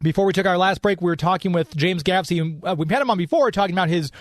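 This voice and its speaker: A man's voice: sits high, fast talker, dry recording, studio quality. A man hosting a radio show in a chatty, informal, off-the-cuff way, moving between casual talk and sponsor reads.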